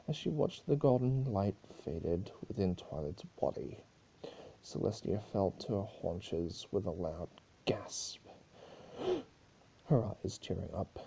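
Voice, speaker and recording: Low, very dark-voiced man narrating dramatically. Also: creaky, slight background noise, low-quality recording. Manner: stumbles over words. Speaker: amateur story reader.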